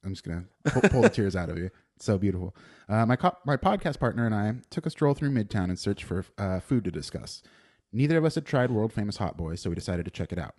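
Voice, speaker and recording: A young man with a lowish voice reads his own written food review aloud on a podcast. He is dry and deadpan, with plenty of "uh" restarts as he goes. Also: fast talker. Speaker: podcast co-host.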